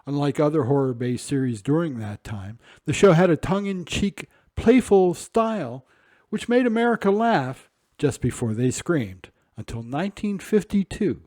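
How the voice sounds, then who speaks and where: A man's voice: on the high side, lively intonation, normal speed, mellow, dry recording, studio quality. A man reading scripted narration as an announcer introducing a programme. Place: dry, close studio-like recording.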